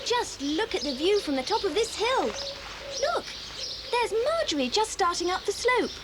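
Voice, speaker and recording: A woman's voice: extremely high pitch, sing-song, measured pace, very noisy, full character voice. A woman playing a chatty, teasing young English schoolgirl in a children's audio drama. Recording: loud birdsong and outdoor effects.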